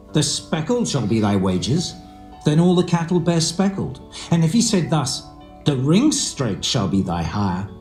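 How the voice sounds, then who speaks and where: An actor playing an earnest, firm, hard-working shepherd, declaiming in a dramatised scripture reading. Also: male voice, medium pitch, darkish tone. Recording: roomy echo, faint music behind. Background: faint guitar music.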